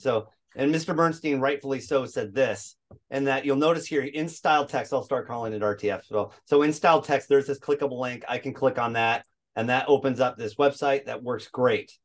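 A man's voice: medium pitch, a little fast. A man hosting a video call meetup, chatty and walking through his screen as he goes. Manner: chatty, narrates screen actions. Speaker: meetup host. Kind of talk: casual video call demo.

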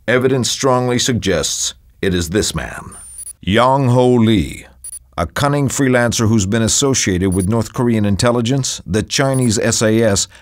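An actor playing an authoritative spy agency director, delivering a formal, measured, grave mission briefing in a video game. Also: male voice, fairly low pitch, lightly acted. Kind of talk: scripted mission briefing narration.

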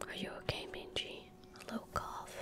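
A young woman gaming streamer in soft-spoken ASMR style, murmuring to herself in a breathy whisper at a close microphone. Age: young adult. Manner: unhurried, murmuring to herself as she plays. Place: indoor room at a gaming setup. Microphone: close desk microphone.

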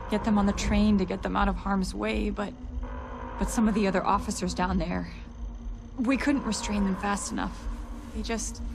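An actress playing a shaken, apologetic security officer in a video game, halting and stammering in distress. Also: female voice, medium pitch, creaky. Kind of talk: video game character dialogue.

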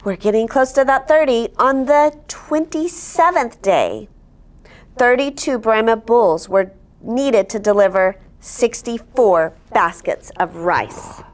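A middle-aged woman reading a picture book aloud to children, expressive, with explaining asides and check-in questions. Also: on the high side, slow pace. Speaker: story time host reading aloud. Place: indoor studio set, seated at a table. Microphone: lapel.

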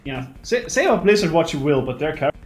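A man's voice: fairly high pitch, sing-song, husky, faint music behind. Eager, swearing man on a call. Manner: enthusiastic, profane, halting restarts. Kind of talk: casual chat over a voice call.

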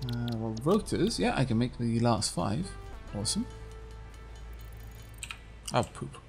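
A man's voice: mid-range voice, sing-song, takes it slowly, gravelly, soft background music. Welsh man chatting over gameplay. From Wales. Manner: rambling, chatty with frequent fillers and restarts. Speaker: gaming streamer. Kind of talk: casual co-op gameplay chat.

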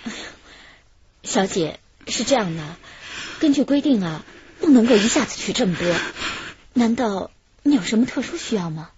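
A woman playing a courteous bank clerk in a radio play, patient and businesslike, with animated intonation. The sound is somewhat dull. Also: a little high, moderate pace, long pauses, a little hiss or hum, close to an everyday voice. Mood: polite, puzzled. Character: polite female bank teller, businesslike and patient. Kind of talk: radio drama acting. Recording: dull radio drama track.

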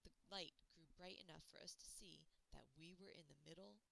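A woman voicing an adventurous, excitable young girl in a children's storybook, eager and exclaiming. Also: fairly low pitch, fairly quick, gravelly, exaggerated voice, rough recording. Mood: anxious.

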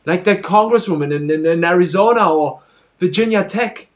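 Man acting anxious young student, haltingly confessing. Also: high-pitched, close to an everyday voice. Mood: anxious, uneasy. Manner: halting, hesitant, repeating words. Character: anxious, guilt-ridden student confiding about a troubled friend. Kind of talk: dramatic stage monologue.

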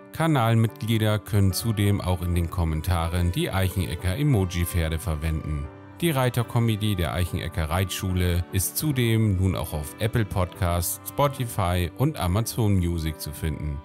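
A man delivering scripted voice-over narration for an online video series, addressing the viewers. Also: low-pitched. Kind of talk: scripted narration, channel outro. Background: guitar music.

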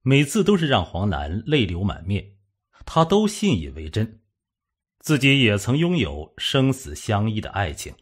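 A man reads a suspense novel as an audiobook narrator. His voice sits fairly low and has a rough, husky grain. The delivery is scripted storytelling with lively, wide-moving intonation. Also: measured pace.

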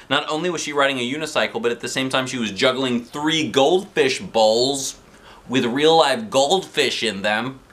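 Animated American dad with a bright voice. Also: male voice, medium pitch. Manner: expressive, playful. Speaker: dad reading a children's book aloud. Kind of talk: animated children's storybook narration with casual asides. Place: living room, seated on a sofa. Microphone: camera a short distance in front.

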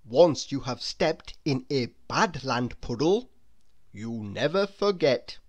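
A woman narrating a children's storybook aloud in an expressive, deliberate storytelling manner. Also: extremely low pitch.

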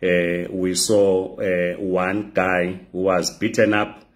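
A man, hosting a political talk show to camera, his voice hoarse from a flu, pausing often with "uh". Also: low-pitched, level tone. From Zimbabwe. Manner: measured, frequent "uh" pauses. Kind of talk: direct-to-camera political address. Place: bright indoor room. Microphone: phone camera close up.

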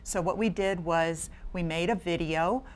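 A middle-aged American woman presents educational material in a lowish voice. She speaks in an explanatory way to camera, close-miked on a lapel indoors. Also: a little slow, a little hiss or hum. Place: indoor studio or room beside a screen.

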